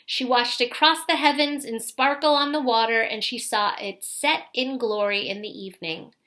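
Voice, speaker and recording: A woman with a high, bright voice reads a picture book aloud to children. Her delivery is expressive storytelling, with the manner of a teacher drawing young listeners in. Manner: pauses to ask young listeners questions.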